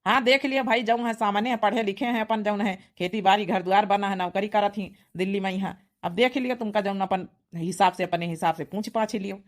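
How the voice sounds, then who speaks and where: A woman's voice: mid-range voice, steady tone, brisk, hardly any pauses, close to an everyday voice. A woman as a practical Awadhi village uncle. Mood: businesslike. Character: practical, reassuring village uncle acting as matchmaker. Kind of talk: cartoon comedy dialect acting.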